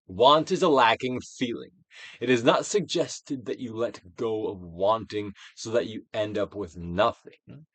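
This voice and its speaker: A man giving a dramatic, theatrical reading of a self-help book, emphatic, with swells and hushed drops. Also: on the low side, dry recording. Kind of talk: theatrical dramatic reading of scripted instructional text.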